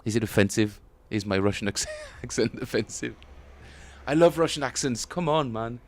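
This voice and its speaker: A man with a French accent, chatting casually and self-mockingly with viewers as he streams his bass practice, often chuckling. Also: lively intonation, normal speed, a little hiss or hum. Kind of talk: casual livestream chat.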